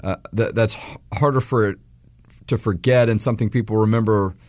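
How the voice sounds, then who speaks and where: A man in his forties from Texas, hosting a radio talk show in a hoarse voice, rambling with restarts and fillers. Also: fairly low pitch, fairly even intonation, a little fast, darkish tone, no room sound, studio quality. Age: 40s.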